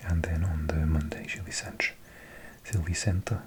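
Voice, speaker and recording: A Welsh man in his forties, whispering softly as an ASMR host, slowly reading out a list of results with chatty asides. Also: extremely low pitch, steady tone, noisy background. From Wales.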